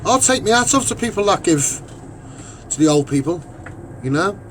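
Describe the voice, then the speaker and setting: A man with a Scouse accent and a husky voice giving an off-the-cuff, opinionated monologue, emphatic and rambling, often repeating his phrases. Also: high-pitched, very animated, normal speed, a bit bright, slight background noise. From Liverpool, England. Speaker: online broadcaster and activist.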